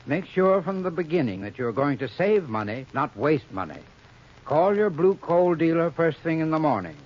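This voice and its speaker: Slightly high-pitched man, vintage radio pitchman. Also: big pitch swings. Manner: formal, measured, instructive phrasing. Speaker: radio advertising spokesman, heating expert. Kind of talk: scripted 1930s radio commercial. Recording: muffled 1930s radio recording.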